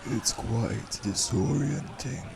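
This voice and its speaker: A man playing a sly, silky stranger with a creaky, measured, insinuating delivery in an audio drama, a crowd murmuring behind him. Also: medium pitch. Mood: dry, aloof. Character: sly, silky male stranger fishing for favors. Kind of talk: audio roleplay drama acting.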